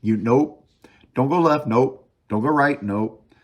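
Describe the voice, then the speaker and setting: A man's voice: mid-range voice, slow pace. A man hosting a sports talk show alone, casually reviewing a game as an opinionated fan, thinking aloud and hammering short phrases by repeating them. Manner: emphatic repetition of short phrases. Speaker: sports podcast host.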